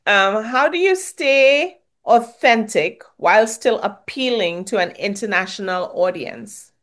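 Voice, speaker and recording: A woman's voice: mid-range voice, animated, a little slow, fairly bright tone. A woman from Trinidad with a Trinidadian accent, interviewing a guest in friendly, rambling questions and agreeing often. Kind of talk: casual interview.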